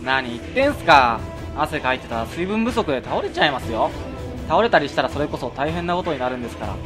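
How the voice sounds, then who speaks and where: A man's voice: on the high side, sing-song, quick pace, music in the background. A man voicing a friendly, oblivious male office coworker in a comedy radio drama, talking casually. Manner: casual, easygoing talk.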